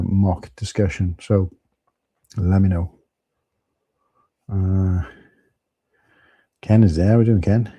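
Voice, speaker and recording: A middle-aged man, husky from tiredness, chatting casually and rambling through a live stream with many fillers. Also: sits low, dark tone, no echo.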